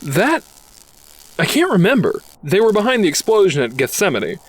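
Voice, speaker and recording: A man's voice: sits high, wildly swooping pitch, normal speed, full character voice. A man playing a cynical, wisecracking robot detective with a sardonic delivery in an audio drama. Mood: dry, sardonic. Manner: sardonic, quippy.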